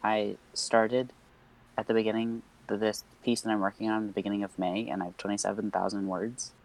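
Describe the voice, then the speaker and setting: A man's voice: fairly low pitch, little pitch movement, a little fast, creaky, a little mellow, low-quality recording. A young man co-hosting a podcast in casual chat, rambling with many fillers and nervous laughs. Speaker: podcast co-host.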